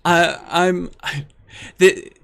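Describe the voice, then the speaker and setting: An American man with a fairly high voice that has a gravelly, crackly edge. He talks as a guest in an easygoing chat. He is thoughtful and pokes fun at himself. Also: drawn-out words, fairly bright tone. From United States. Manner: thoughtful, self-deprecating. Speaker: guest YouTuber. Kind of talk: casual conversation.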